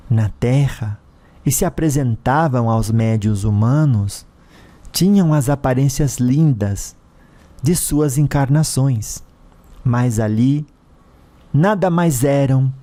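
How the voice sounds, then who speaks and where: A male narrator with a Brazilian accent tells a dramatic story. His delivery is slow and measured, with a dark, mellow tone and a mid-range pitch.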